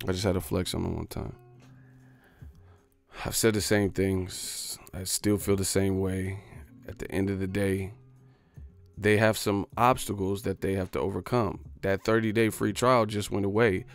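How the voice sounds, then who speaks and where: A man's voice: sits low, level tone, measured pace, gravelly, soft background music. A man ranting on a livestream in a boastful, profane, streetwise way. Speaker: boxing YouTuber. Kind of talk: livestream rant.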